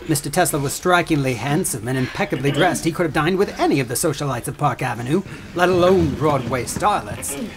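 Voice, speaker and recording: An actor playing a sarcastic, pompous prosecuting attorney in a courtroom audio drama, with oratorical, scornful delivery. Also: male voice, fairly high pitch, animated, a little fast. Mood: mocking. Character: sneering male prosecuting attorney, theatrical and scornful. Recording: clearly noisy track with clicks.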